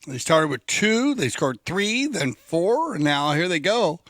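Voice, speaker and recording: A man's voice: on the high side, very animated, a little slow, fairly bright tone, no room sound, clean studio sound. A man giving upbeat, conversational color commentary on a polo match.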